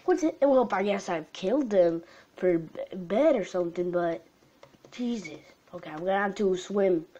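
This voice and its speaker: A young woman with a tired voice commenting on a game she is playing late at night at home, gasping and jumping between alarm and hushed whispers. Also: mid-range voice, normal speed, lo-fi sound. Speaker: gaming channel host. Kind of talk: live gameplay commentary.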